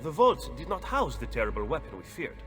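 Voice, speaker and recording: An actor playing a stern, skeptical and impatient political schemer in a video game, with a clipped, severe delivery. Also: male voice, a little high, sing-song, brisk. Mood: probing. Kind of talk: dramatic video game acting. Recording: long hall reverb, faint music.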